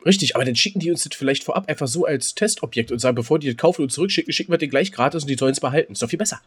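Male podcast co-host. Higher-pitched, husky, excitable casual banter, colloquial and repetitive. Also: racing.

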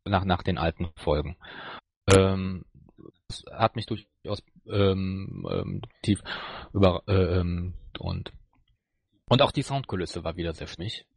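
Male podcast co-host, lowish husky voice, casual and hesitant delivery, closely recorded and dry. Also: takes it slowly, no room sound. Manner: hesitant, searching for words. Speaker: podcast co-host reviewing audio dramas. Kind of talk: casual discussion.

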